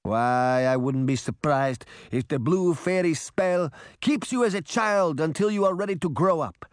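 A man playing a stern, indignant old father in a storybook, scolding forcefully. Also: a little high, lively intonation, unhurried, no room sound, studio quality, pitched above the performer's own voice. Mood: scornful.

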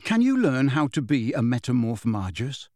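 A man's voice: mid-range voice, very animated, normal speed, a little mellow, no room sound, studio quality, natural voice. A man voicing a curious, impatient teenage boy wizard in an audiobook, questioning and direct. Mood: curious, amazed. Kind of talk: audiobook character voice.

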